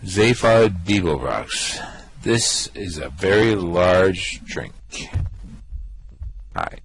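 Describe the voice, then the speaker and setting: Man playing breezy alien, low husky drawl. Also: sing-song, very slow, dry recording, heavily acted, rough recording. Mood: flustered, offhand. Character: breezy, evasive alien man with a cool swagger. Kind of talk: amateur radio play acting.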